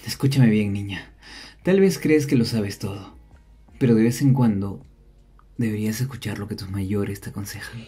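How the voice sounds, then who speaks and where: A protective young man, played by an actor, in an audio drama. His voice is mellow and husky, earnest yet insistent and scolding, with music underneath. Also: fairly low pitch, moderate pace. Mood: stern. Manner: insistent, admonishing. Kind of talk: ASMR audio drama acting.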